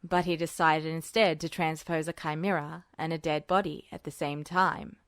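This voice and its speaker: A woman's voice: low-pitched, flat delivery, moderate pace, clear, resonant tone, no room sound, pitched below the performer's own voice. A woman voicing a smug, composed male military officer in a podfic reading, cool and clipped with dry teasing.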